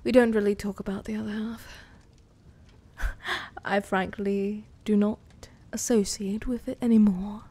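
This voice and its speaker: A woman's voice: mid-range voice, fairly even intonation, mellow. A British woman voicing a sadistic, possessive female werewolf in a teasing, menacing, whispered ASMR roleplay. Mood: condescending, amused. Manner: slow, teasing, menacing, breathy murmur. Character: sadistic, possessive female werewolf-witch hybrid toying with prey.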